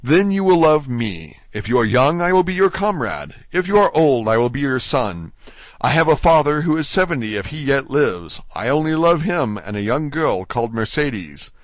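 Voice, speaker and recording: A man's voice: medium pitch, big pitch swings, normal speed, few pauses, a bit bright, no echo. A man voicing a desperate, ardent young male prisoner in a storybook, pleading fervently. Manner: impassioned, fervent, exclamatory.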